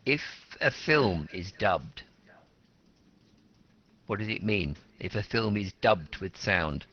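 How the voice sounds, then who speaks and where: A man at the front of a university language class, his low voice carrying through a muffled recording as he quizzes students and spells out meanings. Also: lively intonation, slow, creaky, fairly bright tone. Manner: explains words simply, repeating and rephrasing. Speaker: teacher of an English class. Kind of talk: interactive classroom lecture, questioning students.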